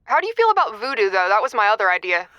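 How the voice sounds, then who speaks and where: A woman's voice: mid-range voice, very animated, very fast, pushed and bright, dry recording. A woman acting as an earnest, stubborn, obsessive lovelorn caller in her thirties, talking casually with many fillers in a comedic audio drama. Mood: insecure, insistent. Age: 30s. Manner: filler-heavy millennial casual talk, stubborn. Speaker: caller to an advice show.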